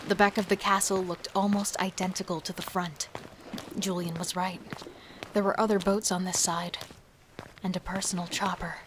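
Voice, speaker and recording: A woman's voice: lowish voice, flat delivery, moderate pace, background noise, natural voice. A woman playing an intense, defiant serial killer devoted to her partner, acting and narrating in a horror audio drama. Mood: tense narration.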